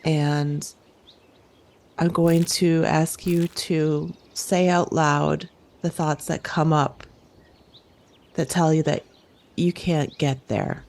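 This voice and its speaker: Slow-paced woman guiding an imaginative exercise. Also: deep voice, fairly even intonation, darkish tone. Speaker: host, playing a camp director guiding campers. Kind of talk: guided imagery coaching, storytelling.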